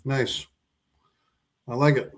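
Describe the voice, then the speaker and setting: A man co-hosting a podcast sits at a middle pitch and tells stories about the songs he loves. His talk is loose and meandering, full of restarts and trailing asides. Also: steady tone, slow. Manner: rambling, with frequent restarts. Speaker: podcast co-host. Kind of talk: casual chat about favorite songs.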